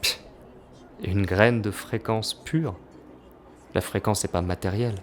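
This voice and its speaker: Man playing a deep-voiced, jaded crime boss. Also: steady tone, a little slow, slight background noise, natural voice. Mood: scornful. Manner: dismissive, blunt. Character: jaded, cynical male crime boss, former elite fighter. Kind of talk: audio drama acting.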